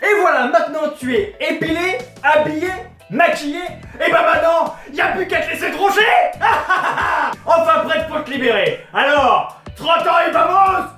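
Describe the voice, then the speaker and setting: A woman giving a crude comic makeup tutorial, brash and mocking, shouting her punchlines. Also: high-pitched, expressive, moderate pace, hardly any pauses, reverberant, soft background music.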